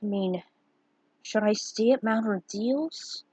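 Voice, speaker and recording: Woman doing a brooding knight guy, unsure of himself, slow and slightly husky, story reading. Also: mid-range voice, warm tone, no echo, highs cut off. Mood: doubtful. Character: troubled male dragoon knight, self-doubting, hesitant.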